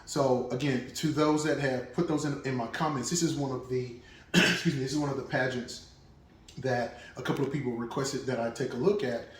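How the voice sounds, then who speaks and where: A man's voice: mid-range voice, steady tone, brisk, reverberant, distant mic. A man hosting a reaction video, talking casually and thinking aloud with restarts.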